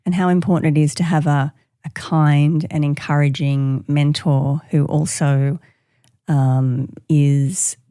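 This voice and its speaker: A woman's voice: deep voice, steady tone, takes it slowly, dark tone, dry recording, clean studio sound. A woman co-hosting a reflective, appreciative discussion of a story. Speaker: podcast co-host.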